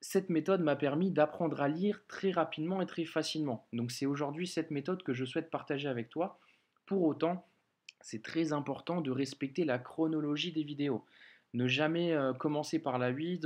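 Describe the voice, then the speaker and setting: A French-speaking man teaching an online lesson on reading Arabic letters, explaining patiently with frequent hesitations. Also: on the high side. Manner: patient, explains slowly, many hesitations and "euh".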